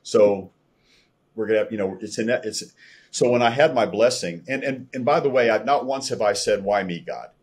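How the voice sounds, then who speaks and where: An older American man around sixty, telling his personal testimony as a podcast guest in an earnest, halting way over a video call from a room at home. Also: on the low side, a little mellow, breathy edge. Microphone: video call, computer microphone at desk distance.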